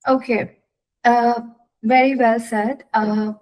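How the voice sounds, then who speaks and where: A woman hosting a formal interview, reading prepared introductions and questions in a measured way. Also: a little high, flat delivery, a little mellow. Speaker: interview host.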